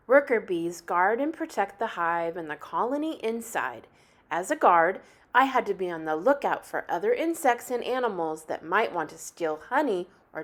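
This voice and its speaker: A woman reading a picture book aloud like a teacher, with animated, deliberate phrasing meant for young children. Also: medium pitch. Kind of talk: expressive children's story read-aloud.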